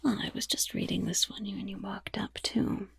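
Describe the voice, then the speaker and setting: A woman's voice: mid-range voice, steady tone, normal speed, a bit bright. A woman playing a chatty, roguish goblin guild member in a whispered ASMR fantasy roleplay, with casual, conspiratorial delivery and wry asides. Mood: sly, intrigued. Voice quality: breathy, whispery.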